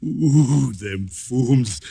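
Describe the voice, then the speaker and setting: A sickly underworld boss in an old radio detective play. He is congested and wheezy, complains about his health and dawdles through his slangy hoodlum talk. His pitch sits in the middle range. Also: very slow, gravelly, dark tone, husky, full character voice. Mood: dawdling, wily. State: congested with a chronic cold. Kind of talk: 1940s-50s radio acting.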